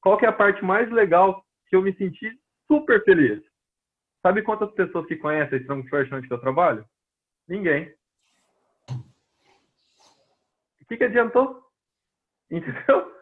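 A Brazilian-accented man talks on a tinny, phone-like line, his fairly high voice a little husky. He tells stories from his work as a personal trainer in casual conversation and briefly plays out each person he quotes. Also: expressive, takes it slowly, pauses often. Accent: Brazilian Portuguese. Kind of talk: casual storytelling interview.

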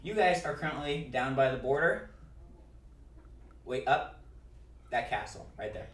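A woman with a very low voice runs a tabletop game for her friends, her pitch moving freely as she narrates. She stops and restarts often, filling gaps with ums. She sits back from the mic in an echoey room. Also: lively intonation, far from the mic. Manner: halting, full of ums and restarts. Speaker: game master.

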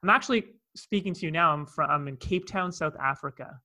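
A man's voice: on the high side, brisk, sharp tone. A man with a Canadian accent, a coach telling a personal story in a podcast conversation, earnest, with many asides. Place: in Cape Town, South Africa.

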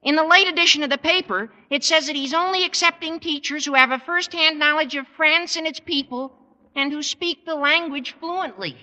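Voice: sits high, moderate pace, bright, forceful tone, long reverb tail, rough recording. An actor playing an eager teenage schoolboy in a 1950s radio sitcom.

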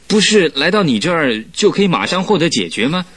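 A man's voice: fairly high pitch, very animated, a little fast, fairly bright tone, slightly rough, exaggerated voice. A man playing a spoiled, impatient young nobleman in a radio drama, fussy and demanding. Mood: impatient, put out. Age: young adult.

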